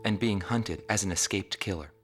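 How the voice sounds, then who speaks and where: An actor playing a sober documentary narrator in a game, reading scripted profiles in a formal, solemn manner. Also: male voice, sits low, moderate pace, lightly acted. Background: loud guitar music.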